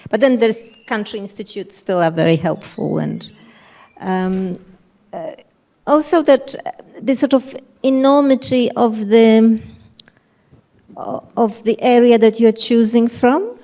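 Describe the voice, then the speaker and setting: A woman editor speaks on a live panel, hesitant and often trailing off mid-thought. Her voice is warm and dark-toned, with a noticeable gravelly fry. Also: fairly high pitch, a little slow. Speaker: independent publisher's editor.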